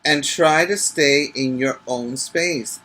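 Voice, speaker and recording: A man plays a storybook father guiding his young son. He speaks very slowly and patiently, in a bright voice, coaxing and instructing with gentle firmness. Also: fairly high pitch, full character voice. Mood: patient, firm.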